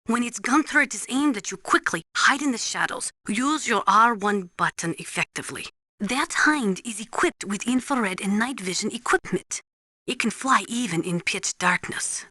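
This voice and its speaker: A woman's voice: on the high side, animated, moderate pace, bright, forceful tone, no echo, clean studio sound. An actress playing a blunt, knowledgeable female military weapons analyst in a video game, briefing in a precise, instructive way. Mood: matter-of-fact, urgent. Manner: precise, matter-of-fact, instructive.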